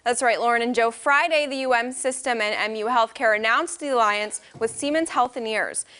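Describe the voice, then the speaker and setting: A female TV news reporter delivering a crisp, scripted package. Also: on the high side, moderate pace, bright, forceful tone.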